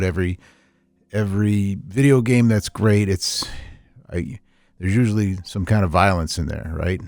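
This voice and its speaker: Rambling, opinionated man with a lowish voice. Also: dark tone, no room sound. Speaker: podcast host. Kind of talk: unscripted casual podcast talk.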